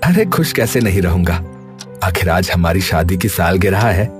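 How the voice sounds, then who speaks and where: A man's voice: low voice, very animated, moderate pace. A man voicing a kind, eager village jalebi seller in a cartoon story. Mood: affectionate. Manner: eager, pleading and hawking loudly. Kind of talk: cartoon voice acting. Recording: faint music behind.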